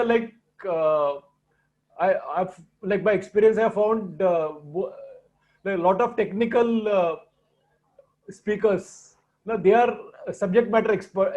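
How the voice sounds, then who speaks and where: High-pitched man, dull lo-fi audio. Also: a little mellow. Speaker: guest, founder presenting his video platform. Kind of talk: explanatory presentation in a conversation. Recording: dull lo-fi online call audio.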